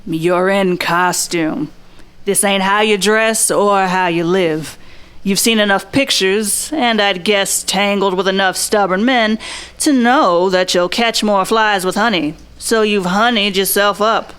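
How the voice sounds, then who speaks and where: A woman's voice: mid-range voice, unhurried, a bit bright, clear, resonant tone, lightly acted. A woman playing a blunt, observant 1940s private eye in a noir radio drama, talking tough, plain slang. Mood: probing, blunt. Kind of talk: noir radio drama acting with inner monologue.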